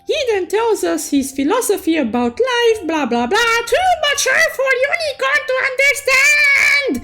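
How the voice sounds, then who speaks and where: A woman telling a game story recap as a childlike, excitable cartoon unicorn, playful and full of exclamations, with music behind her. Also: very high voice, a little slow. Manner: playful, exclamatory, cutesy third-person persona. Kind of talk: animated storytelling recap with sound-effect exclamations. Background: background music.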